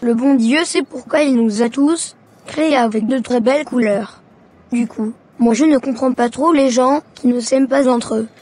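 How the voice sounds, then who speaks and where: A woman's voice: on the high side, moderate pace, a little mellow, breathy edge. A woman voicing an earnest, fed-up schoolboy son in a cartoon, with plain scripted delivery. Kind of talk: animated cartoon dialogue.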